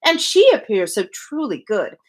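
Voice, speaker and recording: A woman's voice: mid-range voice, very animated, moderate pace. A woman reading a novel aloud as a gushing, self-important, overfamiliar young married lady who chatters effusively. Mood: gushing, self-satisfied.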